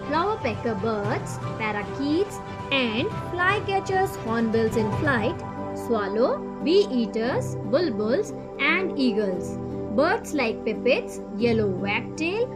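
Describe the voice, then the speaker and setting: A woman's voice: high voice, full character voice. A woman with an Indian accent presenting a puppet show as a guide, reading scripted educational narration. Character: puppet guide named Arya presenting Bihar. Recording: music behind the voice.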